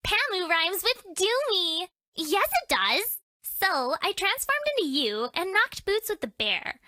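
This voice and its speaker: A woman's voice: sits high, pushed and bright, dry recording, exaggerated voice. A woman voicing a brash, mischievous, lewd young woman in a dating game, with a teasing, theatrical delivery. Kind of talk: video game character voice lines.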